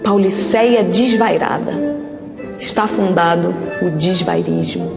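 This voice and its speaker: A woman's voice: lowish voice, slow pace. A Brazilian woman giving a dramatic literary reading in an echoey space with music behind her. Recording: echoey hall with loud music.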